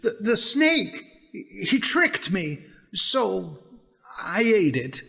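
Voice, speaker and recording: A man's voice: high voice, very animated, extremely slow, creaky, bright, forceful tone, in a large hall, pitched above the performer's own voice, exaggerated voice. A man voicing the naive first woman in a dramatic scripture reading, earnest and hesitant. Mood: guilty, hesitant.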